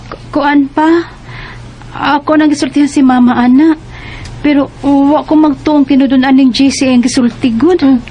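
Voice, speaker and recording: A woman with a Filipino accent playing a young wife in an expressive radio drama. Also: high voice, moderate pace, slight background noise, close to an everyday voice. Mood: flustered. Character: young wife Aya, telling her life story.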